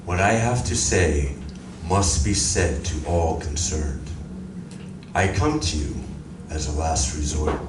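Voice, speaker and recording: Recorded from far off in an echoey, noisy room, an actor plays a calm male alien emissary with a low voice. He speaks formally and deliberately, with polite menace, in radio drama style. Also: heavily acted. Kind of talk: live radio drama acting. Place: library community room, live performance. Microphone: audience member's distant recorder.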